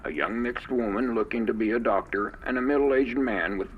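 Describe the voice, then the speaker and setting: A man giving scripted, even-toned narration for an audio drama. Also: medium pitch, moderate pace, phone-quality sound, a little hiss or hum.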